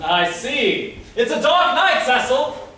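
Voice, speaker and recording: Man acting a high-voiced, deliberate stage inspector. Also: sing-song, exaggerated voice. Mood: probing. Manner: theatrical, deliberate, old-fashioned phrasing with dramatic pauses. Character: probing, exasperated police inspector in period whodunit. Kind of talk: staged murder-mystery comedy stage acting. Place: live staged reading in an echoey room. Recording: echoey room, distant mic, faint noise.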